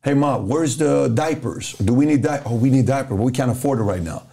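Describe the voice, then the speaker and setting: Mellow-voiced man telling lively stories. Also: mid-range voice, a little fast, creaky. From Iran. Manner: animated storyteller who acts out dialogue. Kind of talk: casual podcast storytelling.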